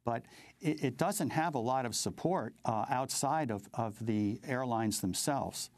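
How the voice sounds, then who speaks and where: Halting American man, dry close sound. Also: mid-range voice, a little mellow, dry recording. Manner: halting, hesitant, measured delivery with repeated words. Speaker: interview guest, advocacy group president. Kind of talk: interview commentary.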